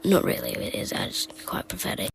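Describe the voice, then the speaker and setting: A woman's voice: normal speed, music in the background, lightly acted. A woman voicing a weary, philosophical spirit from a game, musing in a hesitant, resigned way. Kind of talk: fan impressions of game voice lines.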